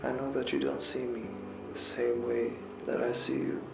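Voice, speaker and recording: A man giving a heartfelt, confessional voicemail monologue, earnest and reflective, halting as he repeats words and pauses mid-sentence. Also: mid-range voice, little pitch movement, creaky, noisy background. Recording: roomy echo, music underneath. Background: music.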